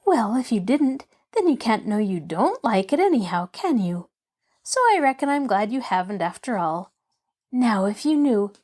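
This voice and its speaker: A woman's voice: on the high side, big pitch swings, moderate pace, a little mellow. A woman voicing a cheerful, earnest little girl in a storybook, chattering on in an eager, artless, rambling way. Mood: eager, glad. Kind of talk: children's storybook character voice.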